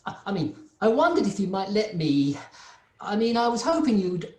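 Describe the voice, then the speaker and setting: A woman's voice: medium pitch, lively intonation, moderate pace, natural voice. Woman as timid, stammering clerk in echoey room. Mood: nervous, apologetic. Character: meek, downtrodden male clerk Bob Cratchit. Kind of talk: radio drama acting. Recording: roomy, echoey sound.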